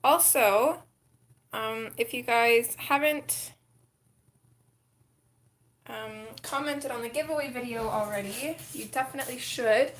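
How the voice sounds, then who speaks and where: A woman hosting a casual livestream, chatting with viewers as she colors, her voice a little gravelly from just waking up. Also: on the high side, slow pace, pauses often, reverberant, slight background noise. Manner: chatty, reads and answers chat comments. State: slightly gravelly morning voice. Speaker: livestream host.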